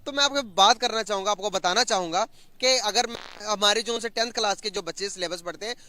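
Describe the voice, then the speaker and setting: A man interviewing people, asking animated, leading questions. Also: sits high, fairly quick, a little hiss or hum. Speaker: street interviewer. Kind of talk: reaction interview.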